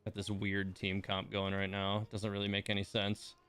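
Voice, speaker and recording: A man's voice: lowish voice, monotone, moderate pace, fairly bright tone, clear, resonant tone, faint music behind. A man giving casual livestream game commentary, rambling and muttering to himself as he plays.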